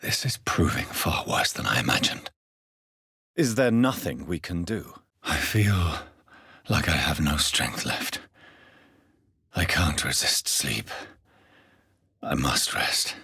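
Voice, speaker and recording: A principled, brooding English gentleman doctor turned vampire in dramatic video game style. The voice is very low and breathy, recorded close and dry. His delivery is formal and earnest, with a wide, expressive swing in intonation. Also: no echo, exaggerated voice. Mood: weary, sorrowful. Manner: formal, measured, earnest, intense. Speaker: video game lead character voice.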